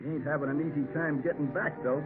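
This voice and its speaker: A man's voice: fairly high pitch, steady tone, fast talker, close to an everyday voice. A man playing a plainspoken, superstitious ship's mate with a folksy, drawling, offhand manner, in a 1950s radio drama. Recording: tinny old radio transcription with background noise.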